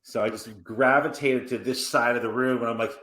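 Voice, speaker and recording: A man in his forties hosting a podcast, telling stories in an animated, rambling way with many restarts and asides. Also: medium pitch, a little fast. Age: 40s. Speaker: podcast host. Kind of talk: casual conversational interview. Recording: roomy echoey room.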